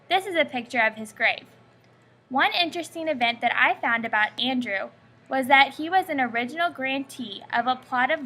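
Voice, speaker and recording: A young woman reading her school family-history presentation in a steady, scripted recitation. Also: high voice. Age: young adult. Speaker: student presenter.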